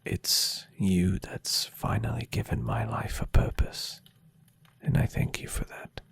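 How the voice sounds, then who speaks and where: A man voicing a tender, adoring and playfully teasing centuries-old vampire boyfriend in an intimate ASMR roleplay, speaking in a soft, husky half-whisper. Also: on the low side, fairly even intonation, slow pace, heavily acted. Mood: devoted. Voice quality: breathy, husky half-whisper with gravelly creak. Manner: soft, soothing, affectionate murmuring.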